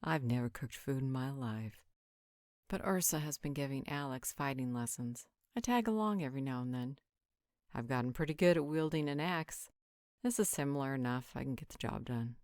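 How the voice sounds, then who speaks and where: A woman's voice: rumbling low, normal speed, darkish tone, no room sound. A woman playing a haughty, flirtatious vampire with a dry, sarcastic manner in a roleplay audio drama. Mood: smug, playful.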